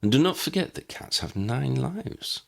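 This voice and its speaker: A man voicing a calm, courteous old man in an audiobook, speaking in a measured, formal and unruffled way. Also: medium pitch, gravelly, pitched above the performer's own voice. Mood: gently reassuring. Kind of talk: audiobook character voice.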